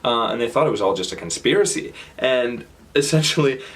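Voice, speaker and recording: A young Canadian man with a Canadian accent giving a casual stage talk, halting with fillers and restarts. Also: medium pitch, lively intonation, a bit bright. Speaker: speaker. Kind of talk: public talk.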